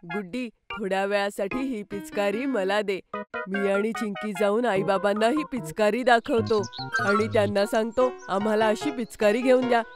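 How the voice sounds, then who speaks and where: A woman's voice: medium pitch, steady tone, moderate pace, close to an everyday voice. A woman voicing a clever, eager young boy who makes plans in a children's cartoon story. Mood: eager, concerned. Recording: music playing behind the voice.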